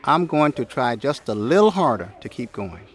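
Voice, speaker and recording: A man's voice: medium pitch, sing-song, normal speed. A man voicing a weary, good-natured, humbly earnest neighbor in a children's storybook, folksy and reflective. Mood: weary resolve. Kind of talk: children's storybook read aloud.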